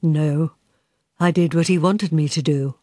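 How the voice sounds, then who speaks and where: A male companion robot played by a woman reader in a radio short story. He is calm, polite and understated, with a soft, floating voice and a hint of menace. The sound is close and dry. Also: low-pitched, unhurried, no room sound, voice pushed down. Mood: calm, flat.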